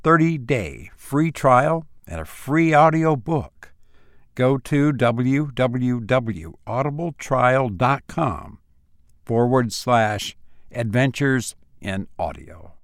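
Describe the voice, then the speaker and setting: A man speaking as a podcast host and story reader, with measured, deliberate phrasing, close on a voice-over mic. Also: medium pitch, lively intonation, no room sound. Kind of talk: podcast introduction and audiobook-style narration.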